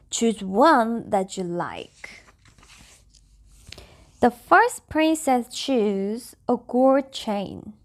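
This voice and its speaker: A woman with a Korean accent, a native Korean speaker, reading stories aloud and chatting casually as a vlogger. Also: on the high side. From South Korea. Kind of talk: storybook reading with casual chat.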